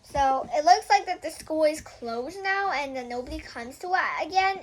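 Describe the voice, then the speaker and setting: A woman's voice: high voice, lively intonation, moderate pace. A young girl hosting a casual read-aloud of a children's book, often breaking off to explain things to viewers in chatty asides.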